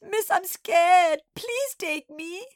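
A storybook schoolgirl, howling and pleading in mock tears. The voice leaps high and loud with dragged-out, swooping words, recorded close and dry. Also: expressive, dry recording, exaggerated voice. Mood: frightened pleading. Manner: howling, sobbing, drawn-out pleading. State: mock crying. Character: wailing, pleading schoolgirl faking a frightened sleepwalking fit.

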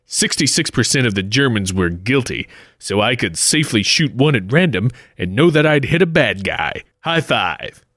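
Man playing a bright, animated adventure hero. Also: medium pitch, normal speed, no room sound, studio quality. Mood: cocky. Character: brash, dim, melodramatic American adventurer hero. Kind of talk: parody 1940s radio serial acting.

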